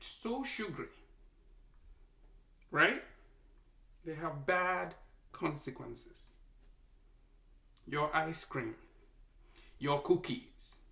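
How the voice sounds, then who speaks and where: Nigerian-accented doctor, slow and emphatic, gravelly tone, preaching health advice, recorded in an echoey room from a distance. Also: mid-range voice, fairly bright tone. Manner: emphatic, exhorting, repeats lines for effect. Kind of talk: preachy health talk to camera.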